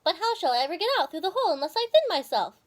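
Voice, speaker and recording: A woman's voice: very high voice, sing-song, racing, pitched above the performer's own voice. A woman voicing a fat, worried squirrel husband from a children's storybook. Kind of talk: storybook character voice.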